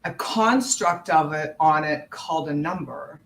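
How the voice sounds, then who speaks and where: A woman's voice: low voice, a little slow. A woman hosting an interview, talking in a reflective way and thinking aloud. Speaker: host. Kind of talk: conversational interview.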